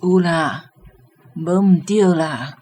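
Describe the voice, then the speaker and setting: A woman playing a 72-year-old Taiwanese mother recalling the past haltingly in a radio drama. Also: fairly low pitch, dark tone. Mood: reminiscing.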